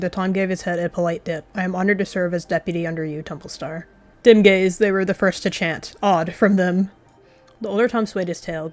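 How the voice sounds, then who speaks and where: A woman's voice: medium pitch, darkish tone. A woman narrating a game roleplay as a story, slipping into chatty asides.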